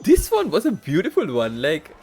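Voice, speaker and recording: A man giving casual, halting live commentary on a game he plays, easily amazed by what he sees. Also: a little high, huge pitch swings, darkish tone. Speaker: game livestreamer. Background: game music.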